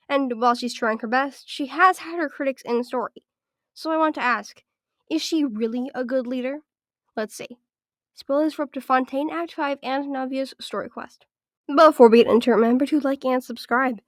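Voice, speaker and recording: Woman video essayist, higher voice, raspy and stuffed-up from illness, methodical scripted read, dry close sound. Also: brisk, no room sound. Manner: structured, list-by-list evaluation.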